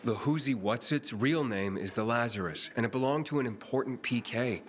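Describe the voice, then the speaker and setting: A man's voice: medium pitch, normal speed, slight background noise, lightly acted. An actor playing a determined young wanderer in a video game, casual but firm as he questions people. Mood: matter-of-fact. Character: determined young male wanderer, probing, streetwise hero. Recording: music behind the voice.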